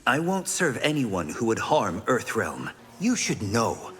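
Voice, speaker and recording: An actor playing an earnest, principled heroic protector in a fighting game. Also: male voice, mid-range voice, wildly swooping pitch, measured pace, a bit bright, slightly rough, big-room sound, heavily acted. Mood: earnest, defiant. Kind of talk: fighting game character dialogue.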